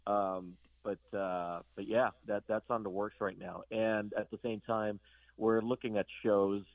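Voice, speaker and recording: Gravelly-voiced man, halting speech. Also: on the low side, level tone, dry recording, phone-quality sound. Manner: halting, full of fillers and restarts. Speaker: band guitarist guest. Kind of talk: casual interview chat.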